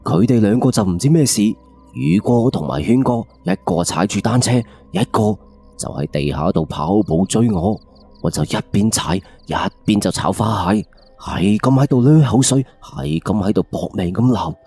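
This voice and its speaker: A man's voice: fairly low pitch, very animated, normal speed, vocal fry, warm tone, husky, faint music behind. A man with a Cantonese accent narrating a first-person ghost story in a colloquial, suspenseful late-night radio style.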